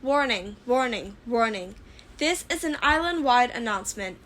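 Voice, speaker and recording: Woman acting a high, formal warning announcement system. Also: expressive, normal speed, fairly bright tone. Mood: urgent, formal.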